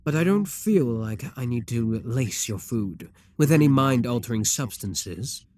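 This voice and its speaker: A man voicing a possessive, scheming demon king who talks to the listener in a calm, coaxing, self-assured way. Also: mid-range voice, a little slow, no echo, close to an everyday voice. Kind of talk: audio roleplay addressed to the listener.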